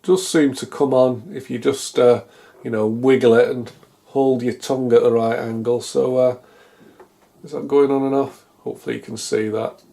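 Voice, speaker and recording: Casting: middle-aged British male, soft mellow tone, medium pitch, dry self-deprecating hobbyist thinking aloud at the workbench. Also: steady tone, moderate pace, vocal fry. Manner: musing aloud, self-deprecating, dryly humorous. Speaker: electronics repair hobbyist, presenter. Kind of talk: casual, unscripted hands-on repair commentary. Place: small indoor home electronics workshop, at a bench.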